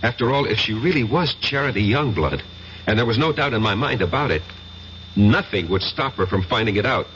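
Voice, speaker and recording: An actor playing an uneasy, fearful college professor in an old-time radio drama, with a hesitant, agitated and dramatic delivery. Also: male voice, mid-range voice, animated, brisk, fairly bright tone, close to an everyday voice. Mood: grave, confiding. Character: uneasy, fearful male college professor recounting his ordeal. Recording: muffled, hissy old radio recording.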